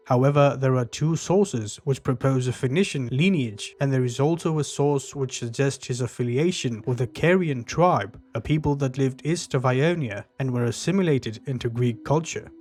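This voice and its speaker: A man giving scripted, measured narration for a history documentary. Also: mid-range voice, a little mellow. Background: faint background music.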